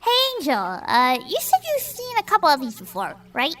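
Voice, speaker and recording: A woman playing a tabletop role-playing game in character, with an excitable, slangy delivery. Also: sits high, moderate pace. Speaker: player.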